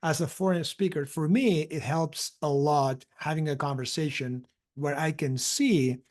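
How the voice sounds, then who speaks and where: A man's voice: fairly high pitch, steady tone, unhurried, a little mellow. A man from Catalonia speaking English with a Catalan accent, hosting an interview podcast over a video call. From Catalonia, Spain. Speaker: podcast host. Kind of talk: casual interview chat.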